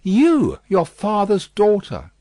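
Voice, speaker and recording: A man reading a novel aloud, voicing a gentle old doctor and grandfather who speaks in soothing, gently reproving tones. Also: sits high, wildly swooping pitch, takes it slowly, no room sound, clean studio sound, voice pushed up, full character voice.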